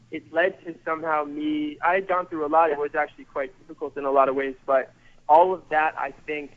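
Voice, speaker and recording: A man in his thirties, a photographer guest, talking earnestly and rambling a little, repeating words as he goes. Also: on the high side. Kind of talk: casual interview over the phone. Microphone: phone. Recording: tinny phone line.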